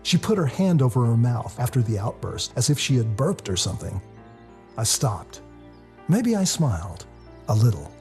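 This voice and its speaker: A man reading a novel aloud as a first-person dramatic narrator, in a confessional, expressive storytelling manner. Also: mid-range voice, normal speed. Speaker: author reading their own novel. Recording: music under the voice. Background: music.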